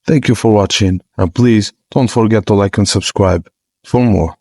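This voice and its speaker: A man with a lowish voice reads out a step-by-step app tutorial. His delivery is plain and instructive, at an even pace. The recording is dry, with no room sound. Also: moderate pace, no echo. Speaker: tutorial narrator. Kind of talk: scripted step-by-step tutorial narration.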